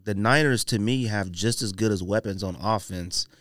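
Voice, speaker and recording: A man's voice: on the low side, normal speed, fairly bright tone, no room sound, studio quality. A man hosting a casual sports podcast, debating picks in an emphatic, argumentative, slangy way.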